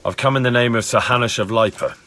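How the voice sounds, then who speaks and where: A man's voice: medium pitch, fast, pushed and bright, lightly acted. An actor playing a young medieval man investigating a crime in a video game, plain-spoken and inquiring. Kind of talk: video game dialogue acting.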